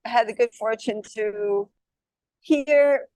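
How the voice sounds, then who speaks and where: A soft-voiced woman guest speaker on a handheld mic, talking casually with fillers and restarts. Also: fairly high pitch, slow, no echo.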